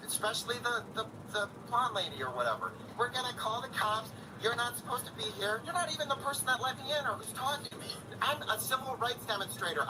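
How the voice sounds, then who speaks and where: Angry hoarse man, distant bodycam audio. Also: sits high, background noise. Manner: angry, insistent, keeps talking over the other. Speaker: protester arguing with police. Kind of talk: heated argument.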